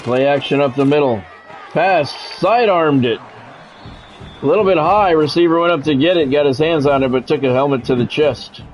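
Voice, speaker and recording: A man's voice: on the high side, normal speed, slight background noise, low-quality recording. An American man calling live football video game play-by-play in clipped announcer phrases, with casual asides. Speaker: play-by-play streamer.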